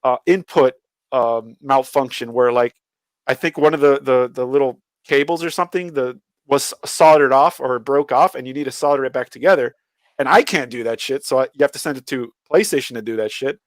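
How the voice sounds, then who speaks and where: A man's voice: medium pitch, brisk, no room sound. A man co-hosting a casual podcast, blunt, opinionated and free with swearing. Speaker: podcast co-host. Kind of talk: casual gaming chat.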